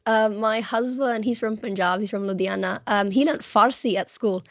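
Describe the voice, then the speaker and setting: Woman, language teacher, croaky, gravelly, enthusiastic, dry lo-fi video call audio. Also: medium pitch, normal speed, no room sound. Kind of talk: casual presentation on a video call.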